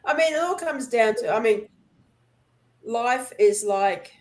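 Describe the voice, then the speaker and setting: Unhurried woman in her sixties. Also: fairly high pitch, expressive, a little mellow. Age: 60s. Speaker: panel guest. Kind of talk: casual chat.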